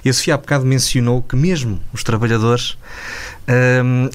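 A man's voice: mid-range voice, fairly even intonation, moderate pace. A man with a Portuguese accent hosting a radio interview in a conversational, discursive way with long explanatory phrases.

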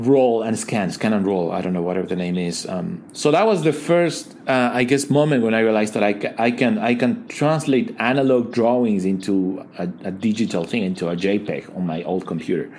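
Man, fairly low voice, halting and reflective. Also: a little mellow, slight background noise. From Ecuador. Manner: thoughtful, halting, many fillers and restarts. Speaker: guest, digital design leader. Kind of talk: casual interview conversation.

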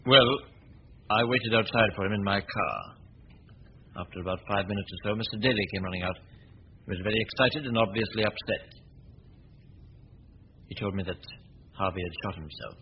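An actor playing a composed, courteous gentleman detective in a radio mystery, with measured, formal, well-spoken delivery. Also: lowish voice, natural voice. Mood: calm, explaining. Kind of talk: 1940s radio mystery acting. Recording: noisy, muffled old radio drama recording.

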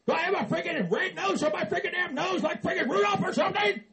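A man's voice: very high voice, animated, moderate pace, vocal fry, a bit bright, heavily acted. A man playing a grumpy, profane middle-aged man who hates Christmas, blustering and exasperated. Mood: angry. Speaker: comedy character.

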